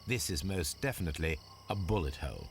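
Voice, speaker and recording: An actor playing a formal, precise gentleman detective with a British accent in a video game. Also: sits low, lively intonation, fast talker, noisy background. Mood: investigative. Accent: British English. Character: brisk, commanding male gentleman detective.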